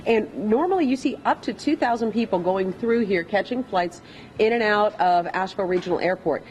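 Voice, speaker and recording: Fast-talking woman reporting live on location. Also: medium pitch, darkish tone, poor audio. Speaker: live television news reporter. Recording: faint background noise.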